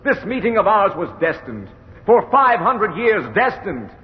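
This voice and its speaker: An actor playing a fervent, commanding leader of young rebels, solemn and declamatory in old radio drama style. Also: male voice, high-pitched, big pitch swings, a little slow, lo-fi sound. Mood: fervent, rousing. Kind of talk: 1940s radio drama acting.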